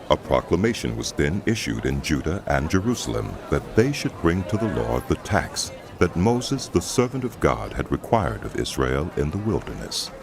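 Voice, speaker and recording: A man narrating a dramatized audio Bible in a solemn, measured storytelling style. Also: a bass voice, noisy background. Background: background music.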